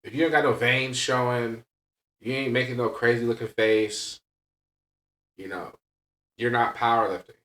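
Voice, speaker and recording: A man's voice: mid-range voice, fairly even intonation, normal speed, long pauses, fairly bright tone. A man talking candidly and casually as a podcast host and coach, emphatic and blunt, often checking in with "right?". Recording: echoey room, distant from mic.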